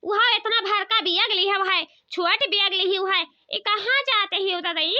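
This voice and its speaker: A woman's voice: very high voice, normal speed, dull audio. A woman voicing a nosy, sly village woman in a comedy cartoon, gossipy and full of questions and exclamations, with an Awadhi accent. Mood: nosy, insistent. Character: nosy, sly, squeaky village women neighbours.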